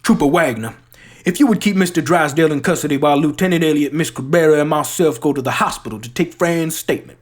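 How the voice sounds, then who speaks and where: A performer reciting as a theatrical, shrewd Southern gentleman detective with a drawling American Southern accent. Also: medium pitch, fast, lightly acted. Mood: triumphant. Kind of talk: dramatic recitation of a film monologue.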